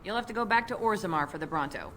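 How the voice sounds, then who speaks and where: An actress playing a surly, sharp-tongued tavern woman in a fantasy video game, needling with sarcasm. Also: mid-range voice, expressive, fast, slight background noise, lightly acted. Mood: scornful. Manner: sardonic, needling.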